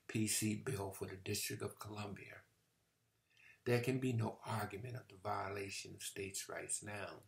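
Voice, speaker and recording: A man delivering a formal political speech as a congressman, in measured, deliberate phrases with emphatic pauses. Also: lowish voice, creaky.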